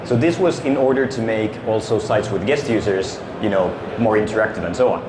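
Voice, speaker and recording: A man's voice: fairly low pitch, a little fast. A middle-aged man from Finland gives a casual conference talk on a handheld mic, full of fillers and restarts. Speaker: conference speaker, security consultant. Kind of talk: conference presentation. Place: conference stage in an exhibition hall. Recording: echoey hall with ambient noise.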